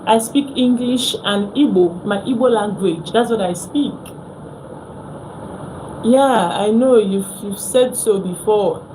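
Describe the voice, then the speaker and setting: A woman's voice: mid-range voice, slow. Nigerian lady chatting on a phone live, repeats stuff, noisy with cars going by. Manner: chatty, repeats herself, answers viewers. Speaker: live-stream host. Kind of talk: casual live-stream talk.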